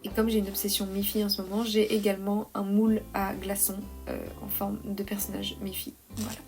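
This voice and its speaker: A young woman with a slight head cold, talking softly and hesitantly in a calm, cosy vlog voice-over. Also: medium pitch, brisk, gravelly, faint music behind. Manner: soft, hesitant, many "euh" fillers. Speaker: vlogger, freelance illustrator.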